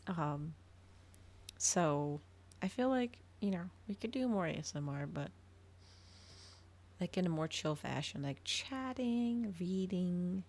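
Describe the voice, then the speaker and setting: Female streamer for relaxed ASMR chat; fairly low, gravelly voice, hushed and whisper-prone, slight noise behind. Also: takes it slowly, long pauses. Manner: hushed, often dropping into whispers. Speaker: livestreamer hosting an ASMR reading stream. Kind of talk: casual livestream chat, soft ASMR.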